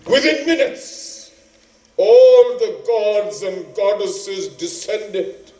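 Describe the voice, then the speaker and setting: A man narrating an epic as a stage actor, dramatic and deliberate, with weighty pauses. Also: high voice, dark tone. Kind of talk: theatrical epic storytelling. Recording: roomy, echoing, distant microphone.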